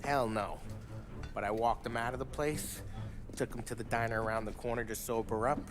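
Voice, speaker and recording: An actor playing a brash, talkative gangster who spins stories and cracks wise in a game cutscene. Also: male voice, mid-range voice, steady tone, normal speed, noisy background, close to an everyday voice. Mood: boastful. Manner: boastful storyteller, wisecracking. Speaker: mob crew member. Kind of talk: 1930s gangster game dialogue.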